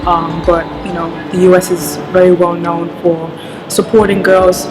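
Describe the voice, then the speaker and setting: Woman, basketball coach, low voice, flat steady delivery, loud music behind. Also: rough recording. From London. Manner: measured and earnest, leans on "you know". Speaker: basketball coach and team director. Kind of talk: interview talk to camera.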